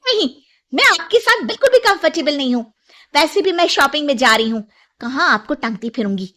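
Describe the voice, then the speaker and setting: Cartoon daughter-in-law played by a woman: young, spoiled, curt, high-pitched and dismissive. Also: very animated, a little fast, fairly bright tone. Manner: snappish, dismissive. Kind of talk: acted cartoon story dialogue.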